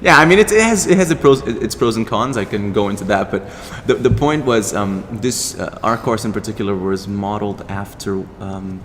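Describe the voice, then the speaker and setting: A man's voice: lowish voice, moderate pace, few pauses. A young man from Brazil with a Brazilian accent, a startup founder talking in a casual interview, rambling with many restarts and fillers. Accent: Brazilian Portuguese. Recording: long reverb, clear background noise.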